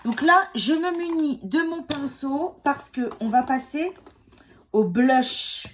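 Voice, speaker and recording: A woman's voice: sits high, animated, slow, slight background noise. A woman in her forties with a cold, chatting casually and joking about herself while she does her makeup at home. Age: 40s. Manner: chatty, self-mocking, many fillers and restarts. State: has a cold. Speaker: beauty vlogger. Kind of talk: casual makeup tutorial chat.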